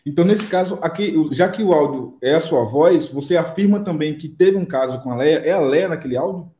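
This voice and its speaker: Male interviewer, Brazilian accent, direct questions, fast delivery, slightly high pitch, very roomy sound. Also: warm tone. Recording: echoey roomy interview audio.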